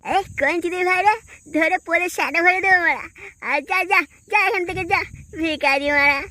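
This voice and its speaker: A woman's voice: extremely high pitch, normal speed, sharp tone, no echo, clean studio sound. A woman voicing a brash, boastful young village man in a comic cartoon, repetitive and quarrelsome. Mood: angry, defiant.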